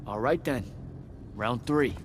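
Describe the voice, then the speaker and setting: An actor playing a young male battlemage, earnest and defensive, with a halting, stammering delivery in game cutscene style. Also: mid-range voice, slight background noise, natural voice. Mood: dry, resigned. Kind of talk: video game cutscene acting.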